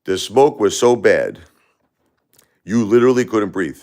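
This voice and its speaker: Deep-voiced New man, rambling livestream talk. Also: lively intonation, a little slow, a little mellow. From Brooklyn, New York. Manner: rambling, repeats words and restarts, addresses chatters by name. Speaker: livestream host. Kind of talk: casual livestream chat with viewers.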